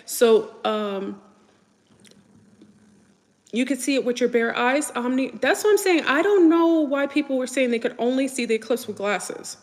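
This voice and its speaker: A woman's voice: sits high, lively intonation, moderate pace, darkish tone. Woman, first language French, casual livestream chat, hesitant and rambling, long room reverb. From United States. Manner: rambling, hesitant, lots of fillers and restarts. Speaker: livestream host.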